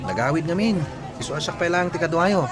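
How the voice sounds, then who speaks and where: A man acts an eager young porter in a radio drama, sweet-talking and playful. His voice sits a little high, with a busy crowd clearly heard behind him. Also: lively intonation, fairly quick, natural voice. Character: Brando, flattering young market porter, courting eagerly. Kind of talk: Ilocano radio comedy drama acting.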